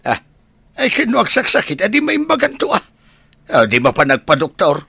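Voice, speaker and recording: A man acting a gruff, suspicious old husband and father in a Filipino audio drama. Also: high voice, huge pitch swings, moderate pace, sharp tone, no room sound, full character voice. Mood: irritated.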